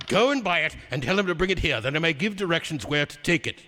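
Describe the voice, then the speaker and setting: An actor playing a joyful, giddy old reformed miser with exuberant, effusive delivery in a theatrical radio drama. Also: male voice, a little high, animated, fast talker, sharp tone. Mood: excited, delighted. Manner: exuberant, effusive, laughing, exclaiming. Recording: reverberant hall sound.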